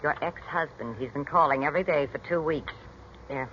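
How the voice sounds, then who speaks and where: A woman's voice: deep voice, a little fast, a bit bright. An actress playing a blunt, wry, cynical female friend in a 1940s radio drama. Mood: dry, wry. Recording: muffled, noisy 1940s radio recording.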